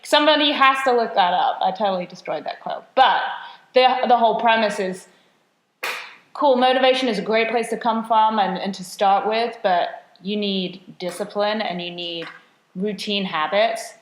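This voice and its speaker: A chatty, self-deprecating woman co-hosting a podcast, her voice sitting at an ordinary middle pitch. She talks freely and often laughs at herself. The room around her rings with a noticeable echo. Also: normal speed, a little hiss or hum. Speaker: podcast co-host and nutrition coach. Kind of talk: casual podcast chat. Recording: roomy echo.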